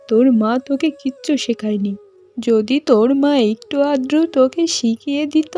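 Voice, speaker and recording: Woman doing a bossy village mother-in-law, high but mellow voice, nags melodramatically, cartoon style. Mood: scornful. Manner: nagging, melodramatic. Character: domineering, scolding village mother-in-law, manipulative. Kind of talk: cartoon voice acting. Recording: music behind the voice.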